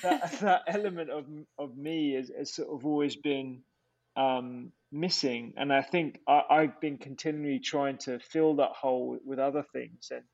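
Hesitant, soft-spoken man guest. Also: medium pitch, moderate pace, no echo, highs cut off. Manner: hesitant, many restarts and fillers. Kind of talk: reflective casual interview talk.

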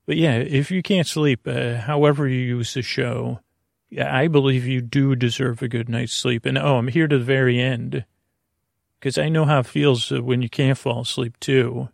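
A man hosting a sleep podcast with a gravelly voice, talking in a hesitant, halting, meandering bedtime monologue that drifts into tangents. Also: mid-range voice, fairly even intonation, no room sound, clean studio sound. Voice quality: gravelly, creaky.

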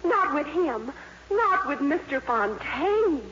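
A woman's voice: high voice, very animated, slow, exaggerated voice. An actress playing a gushing, gloating, breathlessly dramatic teenage girl in a 1950s radio comedy. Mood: amazed, scandalized. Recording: muffled, very noisy old radio broadcast.

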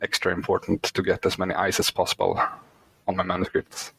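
A man's voice: low-pitched, monotone, fairly quick, gravelly, fairly bright tone, husky, no room sound, rough recording. A Swedish man whose first language is not English, a guest author answering podcast questions hesitantly with many fillers. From Sweden. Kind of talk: podcast interview.

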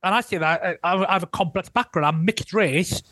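A Welsh man talking as a guest historian in a relaxed interview, thoughtful and hedging, often restarting his sentences. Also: high-pitched, fairly quick, dry recording. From Wales. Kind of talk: casual interview conversation.

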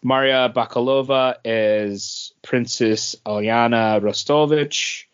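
A man's voice: medium pitch, fairly even intonation, slow pace, smooth. Guy chatting on a podcast, takes his time, kinda muffled audio. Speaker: podcast co-host. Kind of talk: casual chat about entertainment news.

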